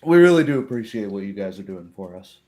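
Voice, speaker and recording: Man playing blunt, low-voiced village farmer. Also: very animated, fast talker, a little mellow. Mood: blunt, teasing. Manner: plainspoken, folksy. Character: blunt, teasing, no-nonsense male farming villager.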